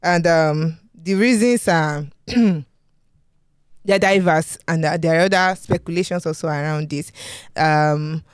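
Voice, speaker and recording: A podcast host with a Yoruba-accented Nigerian English accent, chatting casually in a studio and going over news with many ums and pauses. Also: mid-range voice, clean tone, no echo. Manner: hesitant, many ums, laughs often.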